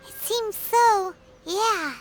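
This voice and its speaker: An actress voicing a tiny, naive, childlike fairy companion in a video game, with a squeaky voice and an earnest, hesitant manner. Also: female voice, very slow, soft background music, exaggerated voice. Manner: hesitant, refers to self in third person. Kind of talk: cartoonish video game dialogue.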